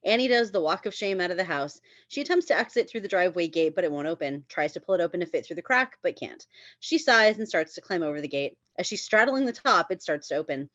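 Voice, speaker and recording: Lowish-voiced woman reading stage directions. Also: lively intonation, fast talker, a bit bright, no echo, highs cut off. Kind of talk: reading screenplay narration at a table read.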